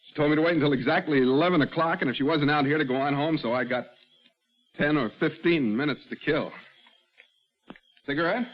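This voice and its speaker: A man's voice: on the high side, brisk, long pauses, close to an everyday voice. An actor playing an easygoing working man killing time, talking in casual, folksy 1940s radio drama style. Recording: dull vintage radio recording.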